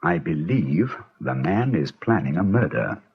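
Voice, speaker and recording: A man playing an assured, incisive British gentleman detective in an old radio drama, with a precise, theatrical delivery. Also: low-pitched, very animated, measured pace, slightly rough, heavily acted. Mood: keen, deliberate. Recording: dull, lo-fi vintage radio recording.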